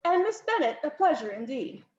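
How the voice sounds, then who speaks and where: A woman's voice: high-pitched, big pitch swings, normal speed, voice pushed up. A woman voicing a cordial, portly middle-aged earl in a stately manner. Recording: roomy, slightly muffled home recording.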